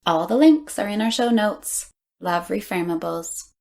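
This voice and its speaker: A woman's voice: medium pitch, a little slow, creaky, a little mellow. A woman hosting a podcast, delivering a scripted, upbeat announcement to listeners. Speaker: podcast host.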